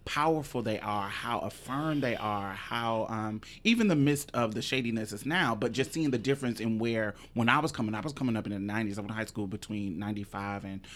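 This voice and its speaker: A man's voice: fairly low pitch, brisk, hardly any pauses, fairly bright tone. A host in his forties from Indianapolis who talks in a chatty, emphatic way and swears casually. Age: 40s. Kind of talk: casual interview.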